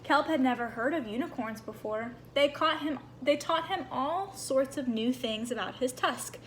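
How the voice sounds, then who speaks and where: High-voiced woman reading a children's storybook. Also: normal speed, slight background noise. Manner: animated, acts out small moments. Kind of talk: expressive children's read-aloud.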